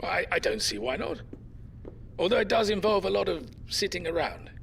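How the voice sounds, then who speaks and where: An actor playing a wry, plucky old grandfather in an audio drama, dry and gently defiant in manner. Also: high voice, very animated, fairly quick, creaky, slight background noise, exaggerated voice. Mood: wry, amiable. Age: older.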